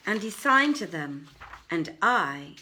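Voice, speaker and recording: A woman reading an old literary tale aloud as a storyteller, with expressive, measured phrasing. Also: lowish voice, slight background noise, rough recording. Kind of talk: dramatic live literary reading.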